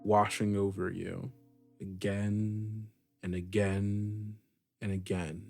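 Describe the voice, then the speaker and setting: A man narrating and chatting through an improvised tabletop role-playing game as game master, with deliberate pauses. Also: fairly low pitch, flat delivery, vocal fry, darkish tone, faint music behind. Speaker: game master and player in an actual-play podcast.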